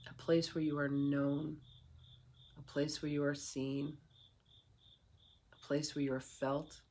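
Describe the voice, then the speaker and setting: A meditation guide speaking in a soothing, unhurried way with long pauses, leading a guided meditation. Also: mid-range voice, little pitch movement, darkish tone.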